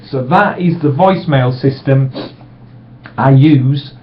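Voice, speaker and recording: A man's voice: medium pitch, slow pace, a little hiss or hum. A middle-aged man, giving a casual, reassuring sales training talk from a small room. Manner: relaxed, reassuring, acts out sample voicemails brightly. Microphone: webcam microphone. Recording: dull lo-fi webcam audio.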